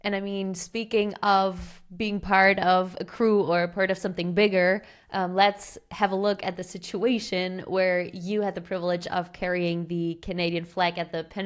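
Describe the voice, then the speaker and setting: A woman hosting a podcast interview, asking measured questions that she frames at length. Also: lowish voice. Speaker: podcast host.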